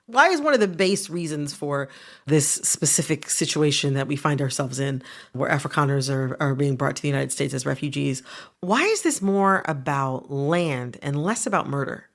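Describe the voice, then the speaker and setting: A woman's voice: low-pitched, expressive, normal speed. A woman hosting a podcast, asking curious, conversational questions and summarizing background. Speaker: podcast host.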